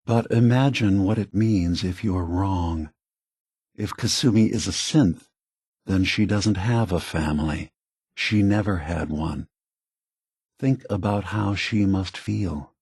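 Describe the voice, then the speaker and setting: An actor playing an aging prototype android leader in a video game, a gentle, philosophical idealist with a soft, husky voice and measured, earnest phrasing. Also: male voice, low voice, dry recording, clean studio sound, full character voice. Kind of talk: acted video game dialogue.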